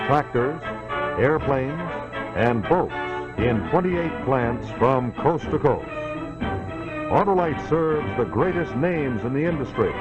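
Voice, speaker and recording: A man's voice: mid-range voice, lo-fi sound. A man announcing a scripted 1940s sponsor message on a vintage television soundtrack. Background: loud music.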